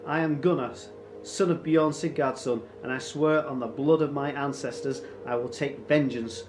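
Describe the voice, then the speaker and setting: A man's voice: mid-range voice, fairly even intonation, measured pace, echoey room, over music, lightly acted. A man voicing a furious, defiant young Viking boy in a storybook, speaking with vehemence.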